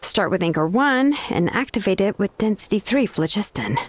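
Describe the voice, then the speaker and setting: A woman voicing a laid-back, expert female blacksmith in a game cutscene, talking in a relaxed, offhand way with frequent "uh"s. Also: mid-range voice, moderate pace, lightly acted. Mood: casual. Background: game background music.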